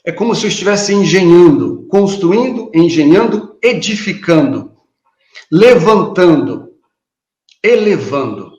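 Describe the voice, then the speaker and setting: A man from southern Brazil in his fifties, giving an earnest, reflective online talk as a guest speaker over a video call, pausing often as he searches for words. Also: sits high, animated, darkish tone, echoey room, highs cut off. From Paraná, Brazil. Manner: earnest, reflective, with frequent hesitations. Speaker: guest speaker, behavioral trainer.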